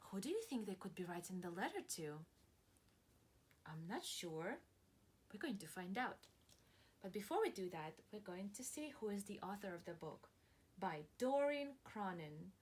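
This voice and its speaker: A woman teacher reading a picture book aloud to preschoolers, stopping to ask questions and encouraging the listeners in a deliberate, dramatic way. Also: mid-range voice, breathy edge. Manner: slow, deliberate and dramatic, stretching words, pausing to ask questions; encouraging, praising and addressing listeners as friends. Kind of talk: interactive picture-book read-aloud for young children.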